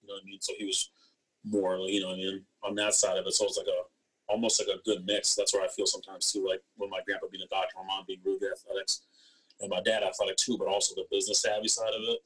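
A man's voice: sits low, completely flat delivery, fast talker, a bit bright, slightly rough, low-quality recording. A young man from East Africa talking casually as an interview guest, rambling and slangy, often checking that he's understood. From East Africa, born in Ethiopia. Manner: rambling, slangy, frequent "you know what I mean". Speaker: interview guest, former athlete. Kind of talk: casual conversational storytelling.

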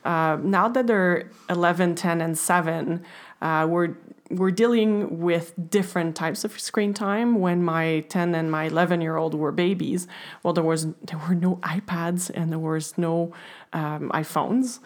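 A woman's voice: on the low side, steady tone, unhurried. Hesitant woman in her forties. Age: 40s. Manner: hesitant, many fillers and restarts. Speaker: podcast guest. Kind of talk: casual chat.

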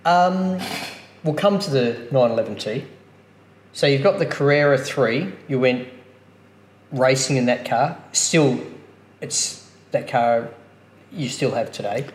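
A man with a mid-pitched voice hosting a podcast. He chats easily and steers with questions. His voice comes through in a roomy, echoey space. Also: slow, pauses often. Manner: chatty, leading questions. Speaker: podcast host. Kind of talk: casual podcast interview.

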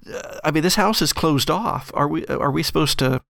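A man's voice: on the high side, normal speed, vocal fry, fairly bright tone, no echo, studio quality. A man acting as game master of a tabletop roleplay podcast, improvising narration and in-character dialogue.

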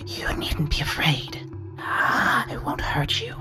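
A man voicing a small, soft, sorrowful presence hidden in the dark, with a strained, hushed and hesitant whispery voice, in an audiobook. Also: slow pace, music in the background, close to an everyday voice. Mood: hushed, coaxing. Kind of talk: audiobook character voice.

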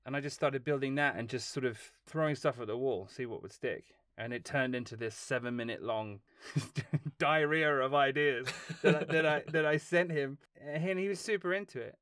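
A man talking as a film composer guest in a casual interview, telling long, lively stories and laughing along the way. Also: medium pitch, moderate pace, few pauses, no room sound, studio quality. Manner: animated, rambling storytelling with laughs.

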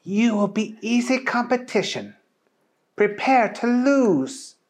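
A man's voice: extremely high pitch, big pitch swings, a little mellow, slight background noise, voice pushed up, exaggerated voice. A man voicing a boastful, haughty woman chef in a mock Italian accent. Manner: haughty, slow.

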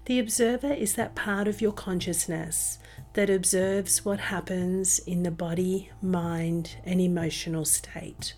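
A woman narrating a guided meditation in a soothing, gentle and unhurried manner. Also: on the low side, steady tone. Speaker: meditation podcast host and guide. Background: music behind the voice.

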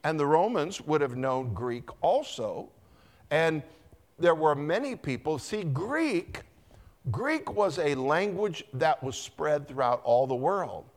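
Slow, lively-voiced pastor quizzing his flock. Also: on the high side. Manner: teacherly, asks the congregation questions and calls on people by name. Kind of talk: interactive Bible teaching with quiz questions. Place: church.

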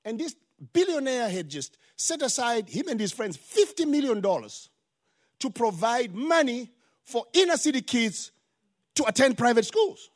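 An older male pastor with a Zimbabwean accent preaches with emphatic force. His voice sits high for a man and climbs further when he drives a point home. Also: very animated, moderate pace. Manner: emphatic preaching with rising exclamations. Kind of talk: sermon.

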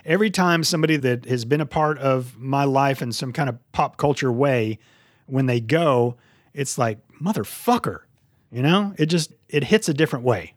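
A man's voice: medium pitch, expressive, moderate pace, no room sound, studio quality. A man in his fifties hosting a movie podcast, chatting casually and swearing freely. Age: 50s. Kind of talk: casual, profane podcast chat.

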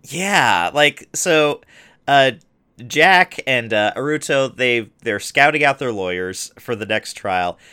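A man's voice: mid-range voice, lively intonation, slow pace, pushed and bright, clear, resonant tone. A man co-hosting a podcast in casual chat, teasing and wry, often laughing. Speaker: podcast co-host.

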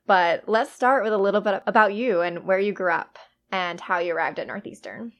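A woman's voice: mid-range voice, fairly quick, fairly bright tone. A woman hosting a podcast, reading a polished scripted intro and then interviewing a guest. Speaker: podcast host.

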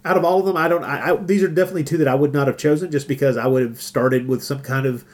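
Guy hosting a podcast, bit high pitched, casual and halting as he talks. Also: steady tone. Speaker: podcast host.

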